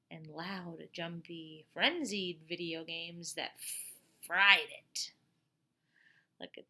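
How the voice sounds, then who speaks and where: A middle-aged woman reading a picture book aloud like a teacher at storytime, expressive, with chatty asides and questions to young listeners. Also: on the low side.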